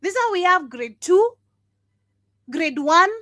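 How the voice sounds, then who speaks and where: Scornful high-voiced woman host. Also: big pitch swings, measured pace, pushed and bright, no room sound, clean studio sound. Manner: scornful, laughing, emphatic. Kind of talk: casual commentary.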